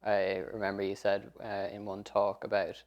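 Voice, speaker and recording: A man's voice: fairly low pitch, completely flat delivery, moderate pace, muffled recording. A young man asking questions conversationally as an interviewer. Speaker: interviewer, PhD student.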